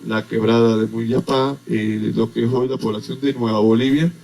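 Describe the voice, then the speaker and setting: Gravelly man explaining calmly. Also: medium pitch. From Venezuela. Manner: explanatory, unhurried with many hesitations. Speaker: agronomist guest. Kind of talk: radio interview.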